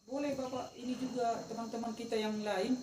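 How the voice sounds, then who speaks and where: A woman's voice: fairly high pitch, fairly even intonation, a little fast. A woman with a North Sumatran Indonesian accent gives informal feedback as an observer. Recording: distant echoey recording, insect noise.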